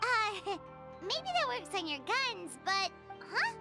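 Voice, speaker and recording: A woman voicing a small, childlike, excitable fairy companion in a video game, chatty and squeaky. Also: slow, loud music behind the voice, full character voice. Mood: puzzled, curious. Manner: exclamatory, cutesy, expressive.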